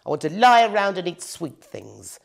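A woman's voice: fairly low pitch, expressive, normal speed, gravelly, voice pushed up. A woman voicing a greedy, lazy, sulky witch in a children's storybook, whining in colloquial speech. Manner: colloquial, whiny, dropped words.

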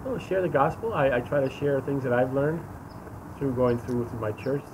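A man's voice: mid-range voice, moderate pace, darkish tone. American man in his sixties, noisy street. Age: 60s. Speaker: interview guest giving testimony. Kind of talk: casual personal testimony. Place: outdoors against a sunlit wall. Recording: outdoor phone recording, clear traffic noise. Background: vehicle and street noise.

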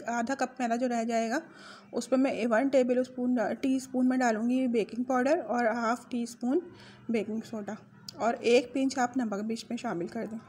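A woman's voice: a little high, a little mellow, slight background noise. A woman hosting a home cooking video, chatting her way through a recipe and correcting her own measurements as she goes. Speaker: home cooking channel host. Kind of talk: casual recipe walkthrough. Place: home kitchen.

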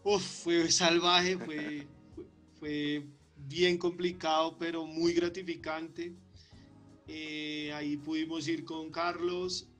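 A man's voice: fairly high pitch, flat delivery, slow pace, a bit bright, soft background music. A man presenting his work at a conference and answering questions over a video call, often hesitating and repeating words. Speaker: conference speaker. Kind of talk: conference talk and Q&A.

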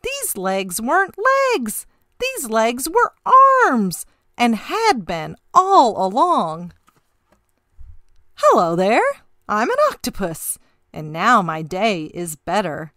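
Woman reading as a bright, animated storybook octopus. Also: medium pitch, takes it slowly, no room sound, studio quality, voice pushed down. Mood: realizing, pleased. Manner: expressive, sing-song rhyming delivery. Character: sad, puzzled male octopus telling his tale. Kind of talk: rhyming picture-book read-aloud.

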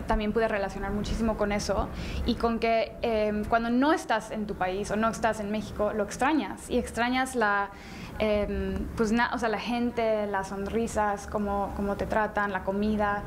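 A young woman with a Mexican accent giving casual, reflective, halting answers in an interview on a handheld microphone. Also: medium pitch, level tone. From Mexican, raised in Dubai. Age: young adult. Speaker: actress being interviewed. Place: indoor festival interview booth. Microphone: handheld microphone held close. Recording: clear ambient noise behind the voice.

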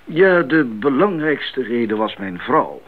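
Older doctor, earnest, formal, explanatory, expressive pitch, 1980s radio drama, narrow muffled sound. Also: male voice, slow. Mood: genial, explanatory. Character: older missionary doctor, earnest, genial, experienced mentor. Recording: muffled band-limited 1980s radio recording.

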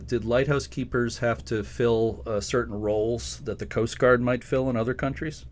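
A man hosting a podcast, asking relaxed, conversational interview questions. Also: mid-range voice, normal speed, a little hiss or hum. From United States. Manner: relaxed, conversational, with restarts and fillers.

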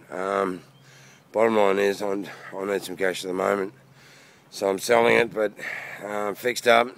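Low, flat-toned Australian man. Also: creaky. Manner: blunt, matey, slangy with mild swearing. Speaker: private seller showing his boat. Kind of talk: casual handheld video walkaround. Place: outdoors in a car park. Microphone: handheld phone. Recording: outdoor phone video with background noise.

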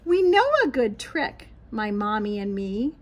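A middle-aged American woman reading a picture book aloud to young children in an expressive, sing-song way, outdoors on a patio. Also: mid-range voice, a little slow. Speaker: children's read-aloud host, teacher. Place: small backyard patio, outdoors. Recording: light outdoor background noise.

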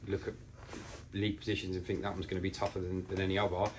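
A man in his forties, a football manager, giving a measured post-match interview with pauses as he thinks. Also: deep voice, little pitch movement, a little hiss or hum, poor audio. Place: small bare indoor room.

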